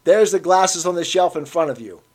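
A man's voice: a little high, expressive, a little fast, breathy edge, pitched above the performer's own voice. A man voicing a burly young barman who answers bluntly and dismissively. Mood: curt.

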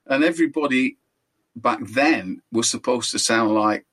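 An older Englishman with a naturally high voice tells long, rambling stories from his days as a musician. He talks casually and keeps correcting himself mid-sentence, speaking at a middle pitch for a man. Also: measured pace, a bit bright. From England. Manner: rambling, chatty, self-correcting anecdotes. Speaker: musician guest. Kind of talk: relaxed storytelling interview.

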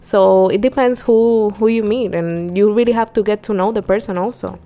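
A woman's voice: mid-range voice, fairly even intonation, normal speed, warm tone, faint music behind. A woman hosting a casual interview, thoughtful and talking things through, often nodding along with short fillers. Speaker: host.

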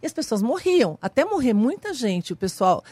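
A woman guest professor on a radio talk show, explaining calmly with examples. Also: a little high, normal speed, no echo.

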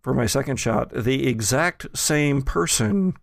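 A man's voice: mid-range voice, expressive, unhurried, vocal fry, no room sound, clean studio sound. A man with an American accent, chatting casually as the host of a group podcast.

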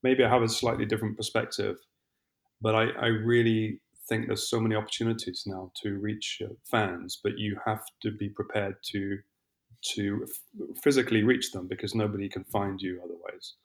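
A middle-aged man, a musician guest on a podcast, talking reflectively with many pauses and restarts. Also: lowish voice, fairly even intonation. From England. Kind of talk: podcast interview.

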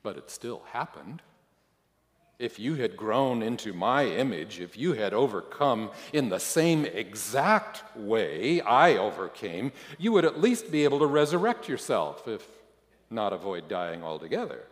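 A man voicing God as an amused, patient Lord who teaches in a deliberate, pointed way, in a reverberant hall. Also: lowish voice, big pitch swings. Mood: serious, pointed. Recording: echoey hall, long reverb.

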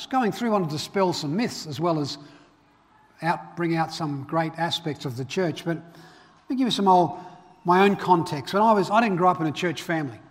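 A middle-aged man, born in Ireland and raised in Australia, preaching a sermon in a relaxed, conversational way, fillers and restarts. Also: fairly high pitch. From Northern Ireland. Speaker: pastor. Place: church auditorium. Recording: reverberant church hall.

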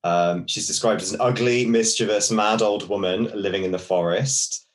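A man's voice: fairly low pitch, fairly even intonation, moderate pace. A man co-hosting a podcast, chatting casually and explaining folklore with many fillers and restarts. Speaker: podcast co-host.

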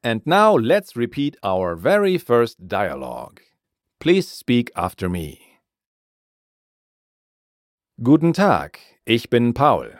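Male podcast teacher for scripted lessons: slow, deliberate delivery, lively rise and fall in a lowish voice. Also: no room sound, clean studio sound. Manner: clear, deliberate, repeats phrases for learners. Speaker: language teacher and podcast host.

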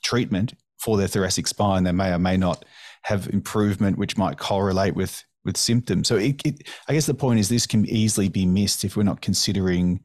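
A man hosting a podcast interview, thoughtful and affirming, with many soft "mm"s. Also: low voice, a little fast, no echo, studio quality. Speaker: podcast host. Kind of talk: conversational interview.

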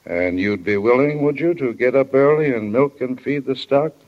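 A man's voice: mid-range voice, moderate pace, natural voice. A man playing a stern but kindly small-town father in a 1940s radio drama. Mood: gently reproving. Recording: dull 1940 radio recording.

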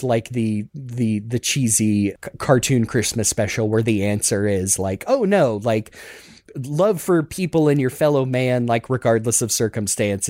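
A man's voice: medium pitch, a little mellow, no echo, clean studio sound. A man chatting reflectively as a podcast panelist, earnest and self-deprecating, often feeling for his words in a rambling way. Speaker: podcast panelist, writer of a fan radio play. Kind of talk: reflective casual chat.

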